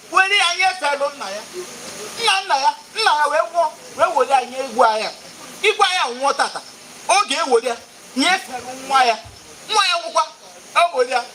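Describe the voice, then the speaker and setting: Man acting a shrill, indignant Igbo royal spokesman. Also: pushed and bright, a little hiss or hum, full character voice, poor audio. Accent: Igbo Nigerian. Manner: emphatic, repetitive, exclamatory. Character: proud, indignant spokesman of an Igbo king's court. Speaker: stage actor. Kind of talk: loud declamatory stage acting.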